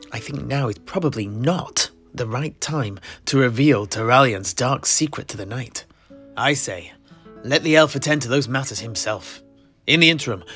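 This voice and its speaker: A man playing a courteous, scholarly tavern keeper in a fantasy audio play, speaking formally and deliberately. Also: medium pitch, fairly bright tone, lightly acted. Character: courteous, scholarly tavern keeper and amateur historian, master to apprentices. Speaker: host.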